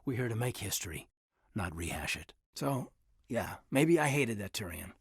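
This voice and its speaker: Casting: loyal military officer for a sci-fi game, husky and dry-recorded, earnest, measured, naturalistic delivery. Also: male voice, on the low side, very animated, dry recording, exaggerated voice. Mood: subdued, guarded. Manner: sincere, measured, slightly hesitant. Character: earnest, loyal adult male space marine officer. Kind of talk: naturalistic video game dialogue acting.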